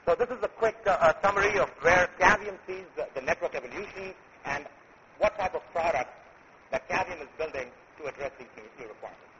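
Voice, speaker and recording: A man presenting his company at a conference, speaking in a halting way with many filler sounds. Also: a little high, pushed and bright. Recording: muffled recording with faint noise.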